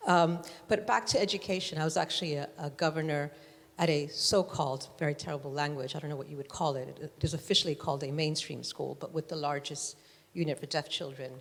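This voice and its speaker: A woman's voice: deep voice, steady tone, fairly quick, vocal fry. A woman in the audience making an articulate, chatty comment with frequent asides. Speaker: audience member. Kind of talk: commenting from the floor. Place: large hall. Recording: hall reverb.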